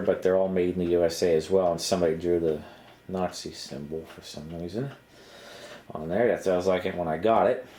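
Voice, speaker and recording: A middle-aged man giving a casual, unscripted show-and-tell in a halting, matter-of-fact way. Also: low voice, darkish tone. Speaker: vintage electronics hobbyist presenter.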